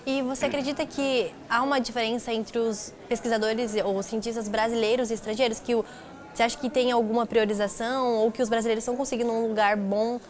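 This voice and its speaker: A woman's voice: fairly high pitch, fairly even intonation, fast. A woman with a Brazilian accent asking questions as an interviewer.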